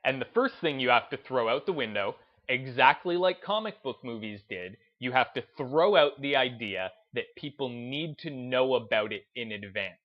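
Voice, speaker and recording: A man hosting a gaming video essay, speaking in an emphatic, deliberate, unscripted way and repeating phrases to drive his points home. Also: mid-range voice, lively intonation, dull audio. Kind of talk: opinionated commentary, unscripted talk to listeners.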